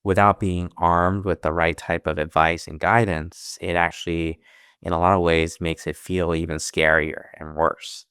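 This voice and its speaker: A man in his thirties with a low, smooth, resonant voice, speaking earnestly as an interview guest. He grew up in America speaking Chinese at home first, and he often restarts his phrases. The sound is dry and close. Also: little pitch movement, moderate pace, a bit bright, dry recording. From United States. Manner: earnest, with frequent restarts and fillers. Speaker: financial advisor, interview guest. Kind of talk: interview answers.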